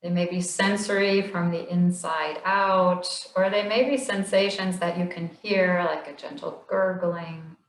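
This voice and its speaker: Slow, gentle woman guiding meditation. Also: on the low side, steady tone, roomy sound, low-quality recording. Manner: slow, gentle, soothing guidance with long pauses. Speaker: meditation instructor. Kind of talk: guided body scan meditation.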